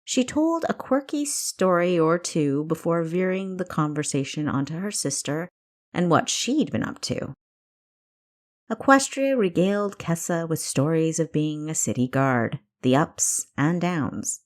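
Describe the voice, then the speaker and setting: A woman's voice: low voice, expressive, unhurried. A woman reading a scripted fiction story as an audiobook narrator. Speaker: author narrating own audiobook.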